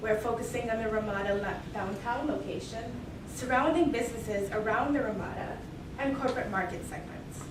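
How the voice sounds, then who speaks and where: A young woman from Mexico and Belize giving a formal, rehearsed student case presentation in a measured way. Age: young adult. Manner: measured, formal pitching with repeated "moving right along". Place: large echoey hall, heard from afar. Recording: distant echoey hall recording with noise.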